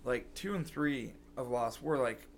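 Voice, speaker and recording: A man chatting casually as a podcast co-host, his voice rough and gravelly because he has been sick. He rambles, circles back and restarts his sentences. A faint hum of noise sits under the talk. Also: mid-range voice, a little slow. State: scratchy voice from being sick. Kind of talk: casual chat.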